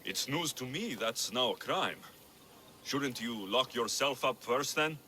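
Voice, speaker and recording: Bold mercenary, lively intonation. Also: male voice, mid-range voice, unhurried. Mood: challenging. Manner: wry, direct. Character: bold, protective, wry ancient Greek mercenary man. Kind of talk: video game character acting.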